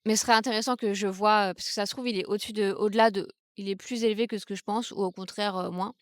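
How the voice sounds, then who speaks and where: A young woman from Brittany, France, speaks in a smooth, clear voice, thinking aloud and often pausing mid-sentence. The sound is close and dry, with no room around it. Her pitch sits in the middle for a woman. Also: fairly even intonation, no echo. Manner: thoughtful, hesitant, many fillers and restarts. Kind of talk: casual reflective vlog talk. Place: outdoors in the countryside, seated on a wooden stool.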